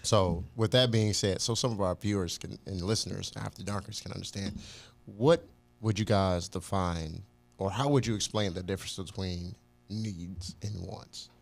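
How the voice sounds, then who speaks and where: A man's voice: sits low, steady tone, normal speed, vocal fry. A man hosting a casual podcast chat in an informal, joking way. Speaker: podcast host.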